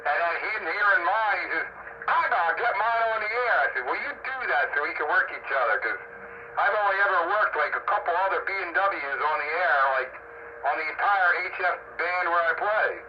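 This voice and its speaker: A man's voice: high voice, expressive, brisk. A man chatting casually over amateur radio in a rambling, folksy way, repeating himself as he goes. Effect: AM two-way radio transmission. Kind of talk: casual on-air radio chat. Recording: muffled noisy shortwave radio signal. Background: clear radio static and hiss.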